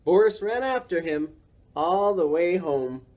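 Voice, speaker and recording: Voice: medium pitch, expressive, measured pace, a little mellow. A reader narrating a children's storybook for a library story time.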